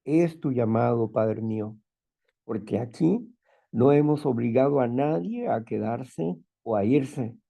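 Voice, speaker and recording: A man with a strong, loud voice who sounds stern without being angry, leading a spiritual talk and prayer. He repeats phrases and often checks in with "¿no?" and "¿verdad?". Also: medium pitch, slow, creaky. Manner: fervent prayer calling on the Father. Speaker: group leader.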